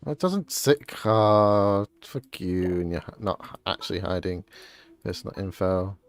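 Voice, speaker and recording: Low-voiced woman streaming a video game. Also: animated, a little slow. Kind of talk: casual live gameplay commentary. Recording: game music behind the voice. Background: video game music.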